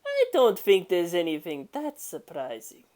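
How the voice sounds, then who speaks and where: A woman voicing a serious, composed male gangster in a story, measured and calmly confident. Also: on the low side. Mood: calm confidence.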